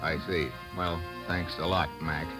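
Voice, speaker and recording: An actor playing a tough, sardonic American agent, narrating and talking in hard-boiled old-time radio drama style. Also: male voice, sits low, little pitch movement, measured pace, loud music behind the voice. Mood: impatient. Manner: sardonic, slangy.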